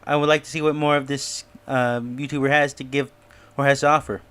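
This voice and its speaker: A man's voice: medium pitch, little pitch movement, brisk, a bit bright, clear, resonant tone. A man giving a casual review and theory commentary in a musing, speculative way. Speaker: YouTube reviewer. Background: music.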